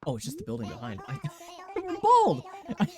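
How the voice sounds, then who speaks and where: A young man in his twenties with a raspy voice, chatting casually and giggling often as he streams a game. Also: extremely high pitch, huge pitch swings, moderate pace, over music. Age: 20s. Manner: giggly, rambling chatter full of fillers.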